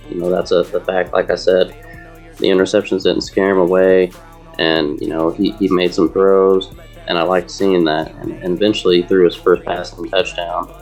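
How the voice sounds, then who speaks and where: A man giving casual game analysis as a panelist, informal and somewhat halting in his phrasing. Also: low-pitched, darkish tone. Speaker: sports show panelist.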